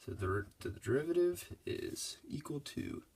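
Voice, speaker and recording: A man's voice: fairly high pitch, big pitch swings, a little slow, gravelly, darkish tone, slightly rough. A congested young man giving a casual, laid-back math lesson over his worked problems. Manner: laid-back, loose and casual, with many fillers.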